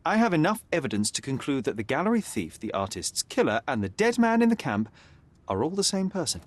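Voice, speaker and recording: A man voicing a confident young male detective in a video game, calm and precise. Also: on the high side, brisk. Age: young adult. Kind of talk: video game cutscene dialogue.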